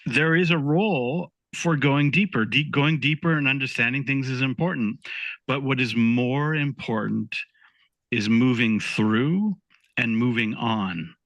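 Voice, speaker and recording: A man's voice: mid-range voice, a little slow, fairly bright tone, dry recording. A man co-hosting a podcast, talking thoughtfully and casually, often restarting his sentences. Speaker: podcast co-host.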